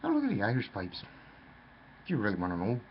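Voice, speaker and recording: Male storyteller, low voice, wildly animated delivery, rambling and theatrical; muffled lo-fi take with faint noise. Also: fast. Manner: theatrical, rambling, addresses listener as lad.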